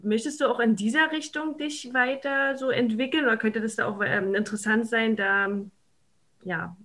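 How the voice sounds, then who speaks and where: A woman's voice: on the high side, moderate pace, fairly bright tone. A woman chatting casually as a podcast interviewer, affirming and adding little tag questions.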